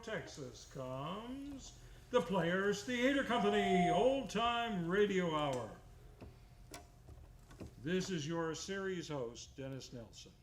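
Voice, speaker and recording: A man's voice: sits high, darkish tone. A man hosting a live old-time radio show, announcing from a script in a theatrical, playfully comic way on a theater stage. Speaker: series host and announcer. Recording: clearly noisy live stage recording.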